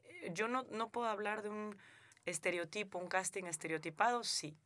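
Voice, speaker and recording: A Mexican actress with a Mexican accent, speaking earnestly as a radio interview guest, with frequent fillers and restarts. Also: female voice, medium pitch, moderate pace, a bit bright.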